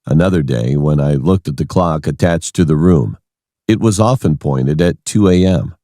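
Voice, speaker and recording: A man's voice: extremely low pitch, moderate pace, warm tone, dry recording, studio quality. A man reading a scripted first-person horror story as its narrator.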